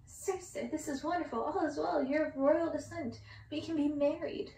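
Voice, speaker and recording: A woman's voice: high-pitched, fairly even intonation, normal speed, creaky, warm tone, roomy sound, far from the mic, a little hiss or hum, pitched above the performer's own voice. A woman voicing a vain young prince, earnest and haughty, in a storybook reading. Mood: elated. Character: vain young prince, smitten then indignant and fearful.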